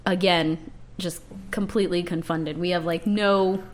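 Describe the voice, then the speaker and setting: A woman with a high-pitched voice co-hosting a true-crime podcast, playful and laughing often. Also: fairly even intonation, unhurried. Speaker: podcast co-host. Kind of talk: casual banter about a true-crime case.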